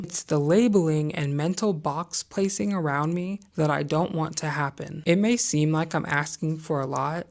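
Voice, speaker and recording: A woman's voice: sits low, darkish tone. A young woman talking candidly to camera in a conversational vlog, sharing opinions with frequent hedges. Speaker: vlogger. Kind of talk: casual talking-head vlog.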